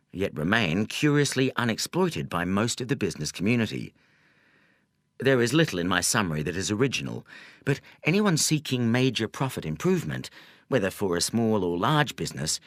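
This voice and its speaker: A man narrating a nonfiction audiobook in a measured, deliberate, scripted reading style. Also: lowish voice, a bit bright, no echo, clean studio sound. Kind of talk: scripted audiobook narration of a self-help business book.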